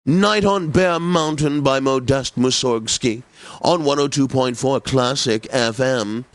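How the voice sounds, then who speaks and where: Casting: male actor as smug, effete male classical DJ for a game radio station; unhurried, theatrical diction, dry studio sound. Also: mid-range voice, no room sound, lightly acted. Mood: smooth, pompous. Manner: pompous, theatrical, unctuous, precisely enunciated. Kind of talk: scripted classical radio announcing, video game.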